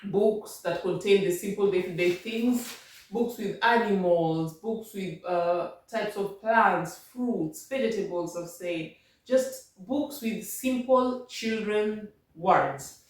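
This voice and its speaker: A woman's voice: medium pitch, takes it slowly, a little mellow, distant mic. A Kenyan woman vlogging language-learning tips at home, repeating and rephrasing as she patiently explains. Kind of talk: casual advice talk. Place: home room. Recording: very echoey room, voice off-mic.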